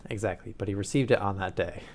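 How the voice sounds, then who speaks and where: A man voicing a calm, polite male detective who speaks in a bland, measured way. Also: fairly low pitch, a little hiss or hum, natural voice. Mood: bland, probing.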